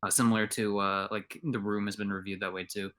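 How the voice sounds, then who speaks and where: A man's voice: lowish voice, level tone, brisk, fairly bright tone. A man co-hosting a podcast in casual chat, rambling with lots of filler words. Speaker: podcast co-host.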